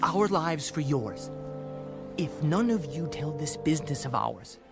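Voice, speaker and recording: Higher-pitched, earnest Israelite scout. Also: male voice, lively intonation, a little slow, creaky. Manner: earnest, declarative. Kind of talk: dramatized Bible audio reading. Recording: background music under the voice.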